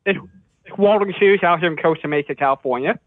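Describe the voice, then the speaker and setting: Quick-talking man on a muffled line. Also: on the high side, over a noisy crowd. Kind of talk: casual greeting. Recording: muffled phone line, loud background voices.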